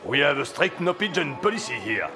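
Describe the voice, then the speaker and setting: Animated, gravelly French doorman. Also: a little high, fairly quick, over music. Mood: welcoming, formal. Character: welcoming but strict French cabaret doorman. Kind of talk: dramatic video game dialogue.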